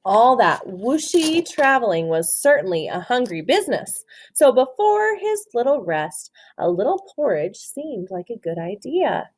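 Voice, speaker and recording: A woman doing an interactive picture-book read-aloud for children, expressive and often asking questions. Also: a little high, poor audio. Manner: expressive, asks questions and pauses for answers. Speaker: storytime reader, teacher.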